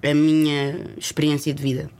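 A woman from Lisbon with a Lisbon Portuguese accent, speaking reflectively and haltingly as a guest in a candid interview, sometimes dropping in English words. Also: low-pitched, monotone, a little mellow, smooth.

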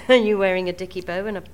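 A woman interviewing performers backstage in a relaxed, chatty, teasing way. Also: lowish voice. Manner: chatty, teasing, finishes guests' sentences. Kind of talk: casual backstage chat. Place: backstage room.

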